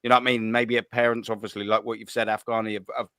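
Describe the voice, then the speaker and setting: A man's voice: lowish voice, little pitch movement, racing, bright, forceful tone, clean tone, no room sound. A man hosting a football call-in show, chatting casually with lots of slang, swearing and fillers. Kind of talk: casual live-stream chat.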